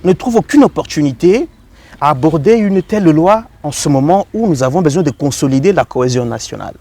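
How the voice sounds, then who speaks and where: A man hosting a political interview, challenging his guest in halting phrases with examples and questions. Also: a little high, animated, dark tone, no room sound. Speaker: TV interviewer and host.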